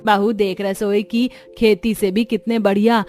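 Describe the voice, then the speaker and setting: A woman's voice: mid-range voice, level tone, measured pace, darkish tone, a little hiss or hum, natural voice. A woman voicing a homely, bossy older mother-in-law in a cartoon, giving instructions. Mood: bossy, pleased.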